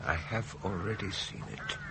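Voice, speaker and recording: An actor playing a grieving professor, polite and evasive, speaking halting, formal English in a radio drama. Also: male voice, low voice, sing-song, gravelly, pushed and bright, exaggerated voice. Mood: guarded, subdued. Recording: noisy radio drama recording.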